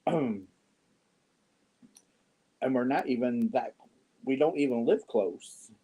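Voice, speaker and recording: A man in his fifties chatting casually with viewers on a yarn livestream, joking and often clearing his throat. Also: mid-range voice, slow, mellow. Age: 50s. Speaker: yarn channel host. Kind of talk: casual livestream chat answering viewer comments.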